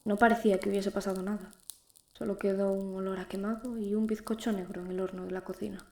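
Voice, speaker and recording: A woman's voice: medium pitch, flat delivery, quick pace, darkish tone, roomy sound, lightly acted. A young woman playing a lonely, frightened teenage girl who narrates her ordeal in an emotive audio drama. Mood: awed, subdued. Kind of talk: dramatic first-person audio fiction narration.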